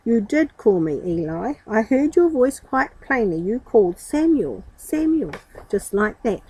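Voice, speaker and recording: A woman's voice: fairly high pitch, big pitch swings, a little slow, warm tone, breathy edge, exaggerated voice, poor audio. A woman voicing an earnest, puzzled young boy in a children's Bible storybook, with eager, insistent questioning.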